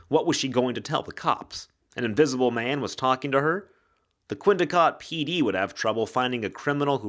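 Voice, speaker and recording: A man's voice: mid-range voice, fairly bright tone. A man narrating a mystery novel in a dramatic, scripted audiobook style. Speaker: narrator, actor reading a novel excerpt.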